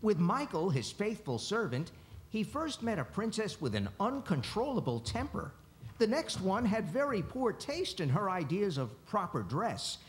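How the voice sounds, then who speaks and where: A man's voice: sits high, very animated, moderate pace. A man narrating a fairy-tale radio play as a scripted storyteller.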